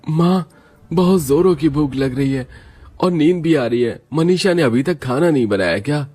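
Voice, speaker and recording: Man playing a small schoolboy, high voice, sleepy, pleading, whiny, cartoon moral tale. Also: moderate pace, a little mellow, natural voice. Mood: hungry, whiny. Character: hungry, sleepy young schoolboy pleading with his mother. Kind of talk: animated moral story dialogue.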